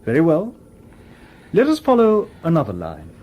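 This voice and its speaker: An actor in a radio drama playing a calm, coolly logical humanoid robot detective with formal, precise speech. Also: male voice, fairly high pitch, moderate pace, dull audio. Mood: calm, probing.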